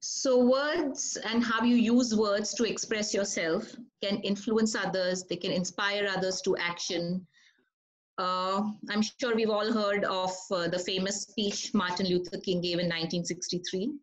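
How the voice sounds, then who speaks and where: A woman teaches a writing session over a call. She speaks in a relaxed, conversational way and often stops to ask the group questions. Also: medium pitch, measured pace, low-quality recording. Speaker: writing workshop leader. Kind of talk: online workshop presentation.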